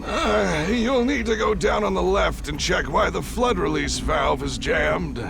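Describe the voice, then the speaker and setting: An actor voicing a panicky, fretful small animal worker in a video game, with an anxious, strained delivery. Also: male voice, high-pitched, lively intonation, a little slow, gravelly, over music, heavily acted. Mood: apologetic, worried.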